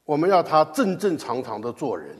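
A man preaching a sermon with a loud voice, speaking in an animated, emphatic way. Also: fairly high pitch, fairly quick. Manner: animated, emphatic, laughs at times. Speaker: pastor. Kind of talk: sermon in Mandarin with live interpretation. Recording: echoey hall.

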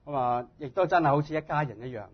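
A man preaching as a guest in short phrases, speaking humbly and haltingly in his native tongue. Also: on the high side. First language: Cantonese. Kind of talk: sermon delivered in short phrases for interpretation. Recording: dull, muffled lo-fi sound.

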